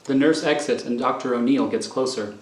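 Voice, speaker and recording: A man's voice: mid-range voice, brisk. A man narrating scripted scene directions for a radio thriller. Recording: echoey room, clear background noise.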